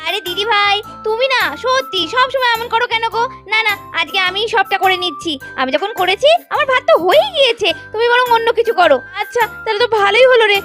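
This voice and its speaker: Woman voicing a very high-pitched busy village mother. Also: expressive, fast talker, few pauses. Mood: chatty, surprised. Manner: exclamatory, chatty. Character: cheerful busy village mother doll, fussing and scolding. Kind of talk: Bengali children's doll-play story voices. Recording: music bed under the voice.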